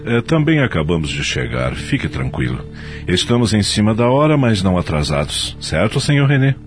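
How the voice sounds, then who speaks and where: A self-justifying company executive in a radio soap. His voice is very low but lively in its swings. He speaks formally, like an orator, over faint music. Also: normal speed. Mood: reassuring, businesslike. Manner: formal, oratorical, smooth-talking. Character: self-justifying male company executive running a board meeting. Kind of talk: radio soap opera acting.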